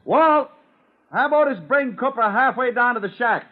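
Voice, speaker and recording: A man playing an urgent detective in 1940s radio style, shouting commands. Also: very high-pitched, animated, normal speed. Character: urgent male detective shouting orders across a yard. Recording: muffled old radio recording.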